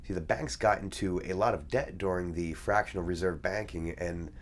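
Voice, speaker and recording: A man with a very low, deep voice, worn hoarse by a cold, delivers an opinionated monologue straight to his viewers. He sounds indignant and certain, firing off sharp judgments, then stumbling and restarting mid-phrase before pressing on. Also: normal speed, a little hiss or hum. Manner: indignant, opinionated ranting with frequent self-restarts. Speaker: video creator. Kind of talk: casual monologue on economic history.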